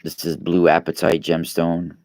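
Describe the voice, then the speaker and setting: Very deep-voiced man. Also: completely flat delivery, unhurried, darkish tone. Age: 40s. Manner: rambling, with many fillers and restarts. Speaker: podcast host and radio guest. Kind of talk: casual live-stream chat. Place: East Coast, New York.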